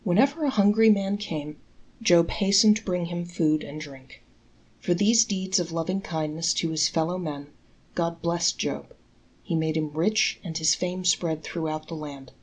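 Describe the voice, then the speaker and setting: A woman's voice: lowish voice, unhurried, darkish tone, slightly rough, low-quality recording. A woman storyteller reading scripted folktales aloud as narration.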